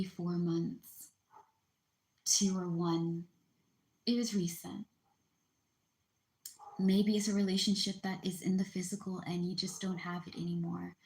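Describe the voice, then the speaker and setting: A woman gently comforting viewers in a livestream reading, speaking softly and unhurried, often close to a whisper. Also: on the low side, reverberant, rough recording. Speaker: spiritual reader on a livestream.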